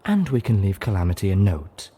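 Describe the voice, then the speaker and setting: A man's voice: sits low, very animated, brisk, mellow, husky, heavily acted. A man voicing a refined, insistent female pony singer in a story, prim, wry and a little condescending.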